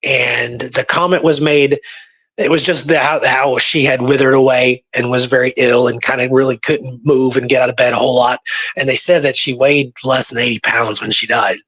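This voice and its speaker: A man's voice: medium pitch, steady tone, moderate pace, fairly bright tone, breathy edge, rough recording. A man telling stories in a casual, informal, colloquial way, as a guest researcher in a conversation.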